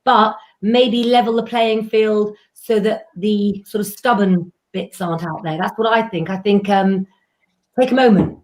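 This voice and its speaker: A woman with a husky voice giving a chatty, reassuring tarot reading on a live stream. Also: medium pitch, steady tone, darkish tone. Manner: reassuring, chatty, slows for emphasis. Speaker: psychic tarot reader.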